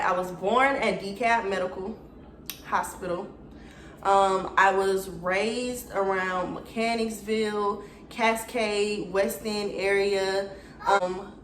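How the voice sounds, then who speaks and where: A woman's voice: medium pitch, fairly bright tone, echoey room. A woman in her twenties from Atlanta with an Atlanta accent, chatting casually to her viewers in a get-to-know-me video. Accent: Atlanta Southern US. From Atlanta, Georgia, USA. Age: 20s. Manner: chatty, slangy, addresses viewers directly. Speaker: YouTuber.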